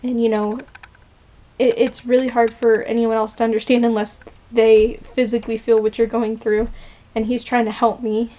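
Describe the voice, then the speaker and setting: A woman's voice: on the high side, little pitch movement, normal speed, warm tone, rough recording. A young woman in her twenties, unwell and shaky, chatting casually in a personal vlog, rambling with many fillers and restarts, filmed close up on a camera in a small bedroom. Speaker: vlogger. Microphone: camera close up, built-in mic.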